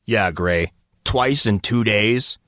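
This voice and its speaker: A man's voice: on the low side, slow, a bit bright, no echo, studio quality. A man voicing a blunt, accusing teenage boy, clipped and matter-of-fact, in an audiobook. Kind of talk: audiobook character voice.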